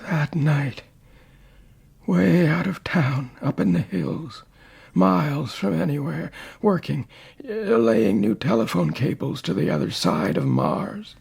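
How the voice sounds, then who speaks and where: An actor playing a lonely, anguished man of eighty stranded on Mars, halting and haunted, in a radio drama. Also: fairly high pitch, very animated, vocal fry, a little mellow, breathy edge, exaggerated voice. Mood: weary, halting. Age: 80s, as played. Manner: halting, haunted, swinging from murmurs to outbursts. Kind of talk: dramatic radio acting, reminiscing monologue. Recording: noisy radio drama recording.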